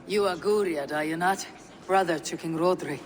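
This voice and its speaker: A woman playing a stern, steady Viking warrior in a video game, speaking in measured, formal, archaic phrases. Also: fairly low pitch, a little hiss or hum, close to an everyday voice. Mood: measured, persuasive. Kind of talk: video game cutscene acting. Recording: reverberant hall with music behind.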